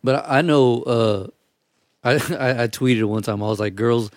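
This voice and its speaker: Brief: male host, mid-pitch, crude joking banter, dry close recording. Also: a little slow, dry recording. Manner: joking, profane, acts out stories in voices. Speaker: podcast host. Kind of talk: casual chat.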